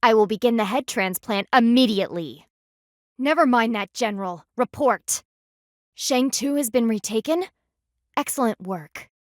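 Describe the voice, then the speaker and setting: A woman's voice: a little high, lively intonation, normal speed, no echo, studio quality, natural voice. A woman voicing a stern, proud panda warrior in a video game, speaking formally and with haughty, precise diction. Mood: formal, serious. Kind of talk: scripted video game voice acting.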